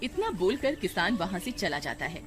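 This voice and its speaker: A woman's voice: lowish voice, big pitch swings, moderate pace. A woman narrator reading a scripted moral story. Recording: loud music under the voice.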